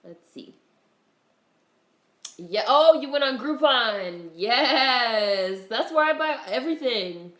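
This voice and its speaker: A woman hosting a casual livestream, greeting viewers in a relaxed, chatty way while congested with a cold. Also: on the high side, takes it slowly, roomy sound. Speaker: livestream host.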